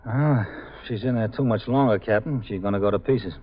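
Low male voice acted, practical police sergeant, plain-spoken, respectful, 1950s radio drama, muffled audio. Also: fairly quick, natural voice. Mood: concerned. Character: steady, deferential police sergeant.

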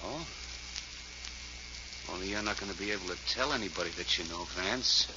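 An American actor playing a wary, threatening tough guy in a 1940s radio drama, blunt, slangy and halting. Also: low voice, lightly acted. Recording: dull, noisy 1940s radio recording.

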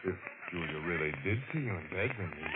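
A troubled, doubting husband in an old radio thriller. His voice is lowish and swings widely in pitch, earnest and dramatic. The recording is tinny and noisy. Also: lively intonation, quick pace. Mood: firm, challenging. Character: torn, doubting husband, firm under pressure. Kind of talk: 1940s radio mystery acting.

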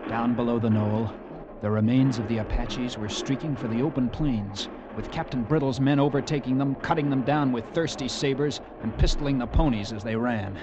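An actor playing an earnest young cavalry lieutenant in a 1940s radio drama, measured, solemn and dramatic. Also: male voice, medium pitch, highs cut off, background noise, lightly acted. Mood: solemn, grim. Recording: vintage radio broadcast with music.

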